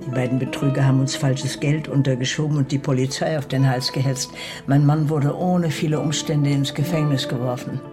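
A woman's voice: extremely low pitch, fairly even intonation, brisk. A woman playing a bitter, grieving mother in a German Western radio play, recounting her past in a grave, emotional way. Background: music.

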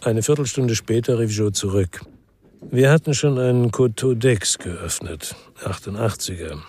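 A man's voice: fairly low pitch, unhurried, darkish tone, breathy edge. An actor playing a world-weary male ex-policeman who narrates his own crime story in a sober, laconic way for radio drama. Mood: brooding.

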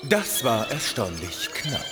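An actor playing a matter-of-fact antivirus program fighter in a children's audio drama, with hall-like reverb. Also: male voice, mid-range voice, wildly swooping pitch, takes it slowly, over loud music. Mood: dry.

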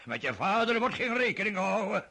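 An actor playing a stern, mocking older aristocratic father in a radio drama, with a husky voice and scornful laughs. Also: high voice, very animated, brisk, sharp tone, heavily acted.

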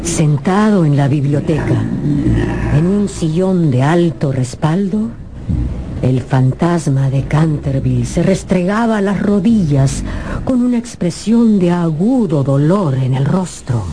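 A woman narrating a scripted radio drama in a measured, expressive, theatrical storytelling manner. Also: sits low, noisy background.

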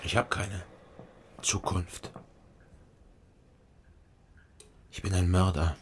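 A man's voice: deep voice, steady tone, measured pace, slight background noise. An actor playing a gloomy, burnt-out East German man who tells his own story tersely in a radio crime drama. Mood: bleak, resigned. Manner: terse, subdued delivery. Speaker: lead actor and first-person narrator.

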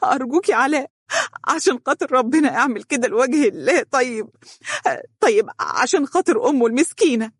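A woman's voice: high voice, lively intonation, a little fast, husky, no room sound, clean studio sound, pitched above the performer's own voice. A woman voicing a desperate young wife with an Egyptian accent, trembling and pleading through tears. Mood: sobbing desperation. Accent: Egyptian Arabic. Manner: trembling, tearful delivery.

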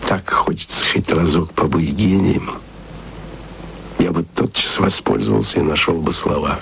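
A literate man musing tenderly to his beloved in a radio play. His voice is low and he takes his time, drawing phrases out theatrically. A faint hiss sits behind him. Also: gravelly, a bit bright, exaggerated voice. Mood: whispered longing. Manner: slow, theatrical, drawn-out phrasing. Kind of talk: intimate radio-play monologue with recited verse.

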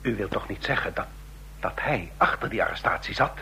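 An actor playing an anxious, cornered old rogue in a radio drama, speaking in halting, clipped phrases. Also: male voice, fairly low pitch, animated, vocal fry, pushed and bright, husky, heavily acted, poor audio. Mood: uneasy. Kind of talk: Dutch radio drama acting.

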